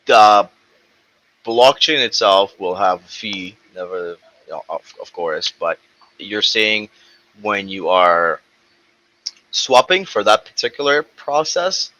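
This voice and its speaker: Brisk low-voiced man hosting an online call. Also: expressive, sharp tone. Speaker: host running a community Q&A call. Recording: dull lo-fi call audio with faint noise.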